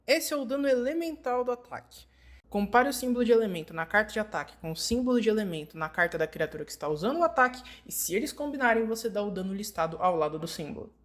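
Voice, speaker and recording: A woman's voice: mid-range voice, expressive, quick pace. A woman with a Brazilian accent reads scripted instructional narration, explaining things step by step as in a fan-dubbed tutorial.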